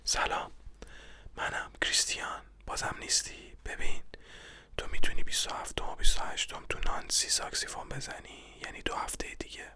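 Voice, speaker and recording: A narrator voicing a chatty, scatterbrained caller leaving a message in a stage play, in a whispered voice. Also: sharp tone. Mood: breezy, rambling.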